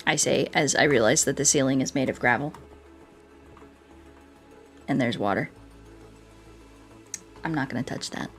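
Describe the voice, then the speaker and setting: An American woman chatting casually as she streams a game, telling things in an animated way and repeating herself for emphasis, with music behind her. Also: a bass voice, a little slow, pauses often. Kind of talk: casual chat while playing a game, reading viewer comments.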